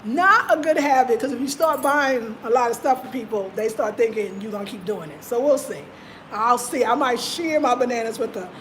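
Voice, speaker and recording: A woman's voice: fairly high pitch, normal speed, fairly bright tone, slight background noise, rough recording. An American woman hosting a live stream, chatting animatedly in slang, telling stories and reading out viewer comments. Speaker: host.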